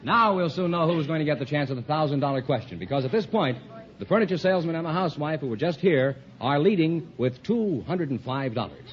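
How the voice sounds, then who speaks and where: High-voiced American man, vintage radio announcer. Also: animated, a little fast. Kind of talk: mid-century radio quiz announcing. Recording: muffled, noisy 1940s-50s radio recording with audience. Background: studio audience.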